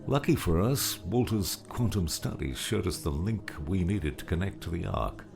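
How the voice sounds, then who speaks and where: A grave old mentor, acted by a man in an audiobook drama, with an extremely low voice full of gravel. He speaks deliberately and knowingly, as if every sentence carries weight, over clear background music. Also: lively intonation. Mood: solemn, urgent.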